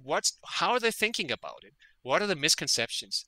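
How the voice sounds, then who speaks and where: A man's voice: on the high side, big pitch swings, fairly quick, pushed and bright, no echo, rough recording. A man from Denmark, a veterinary parasitologist, speaking as a guest in an interview. He talks earnestly and often repeats words as he gathers his thoughts. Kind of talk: conversational interview.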